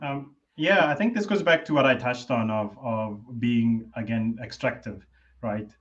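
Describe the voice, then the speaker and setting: A man speaking on a panel discussion, halting, with many repeats and fillers. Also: mid-range voice, fairly bright tone. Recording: dull lo-fi audio.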